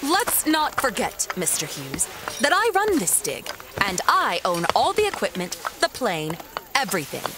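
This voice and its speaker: A woman's voice: mid-range voice, huge pitch swings, unhurried, noisy background. An actress playing a stern, bossy woman who runs an expedition in an audio drama, clipped, formal and exasperated. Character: stern, bossy female expedition boss, stickler for protocol. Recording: loud cinematic music behind the voice.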